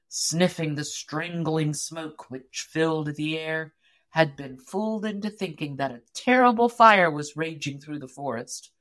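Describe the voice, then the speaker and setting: A woman reading a story aloud as an audiobook narrator, in an expressive, measured storytelling manner. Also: fairly low pitch.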